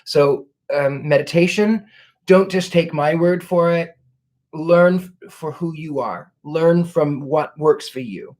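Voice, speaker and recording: A man's voice: on the high side, slow, darkish tone. Courtly, gracious man hosting a live Q&A. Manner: courtly and gracious, effusive thanks; reflective, many restarts while searching for words. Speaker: livestream host answering viewer questions. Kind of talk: casual live Q&A chat.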